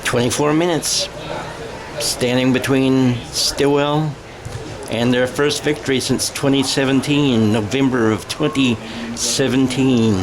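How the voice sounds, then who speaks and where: A man's voice: mid-range voice, fairly even intonation, measured pace. An American man doing live radio-style football play-by-play in a conversational way, thinking aloud and correcting himself as he goes. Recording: noisy outdoor game sound.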